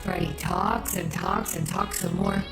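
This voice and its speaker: A woman's voice: unhurried, close to an everyday voice. A woman voicing a sassy but fond female animatronic wolf, teasing and dry, in a fan cartoon dub. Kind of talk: fan comic dub acting. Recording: echoey, with loud music.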